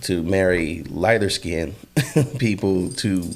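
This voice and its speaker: Male podcast host, low voice, loose chatty delivery with lively outbursts. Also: slow pace. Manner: animated storyteller, many fillers, bursts into loud acted quotes. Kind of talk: casual podcast interview.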